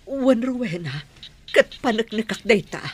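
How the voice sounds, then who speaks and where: A woman's voice: on the high side, expressive, measured pace, slightly rough. A woman playing an earnest, remorseful middle-aged woman making peace in a radio drama, with an Ilocano Filipino accent. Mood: remorseful, pleading.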